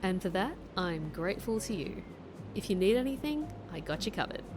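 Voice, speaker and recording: A woman's voice: mid-range voice, big pitch swings, fairly quick, over loud music, natural voice. A woman playing a breezy, friendly Australian secret agent in an audio drama, chummy and slangy, with a playful manner. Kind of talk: audio drama acting with narration.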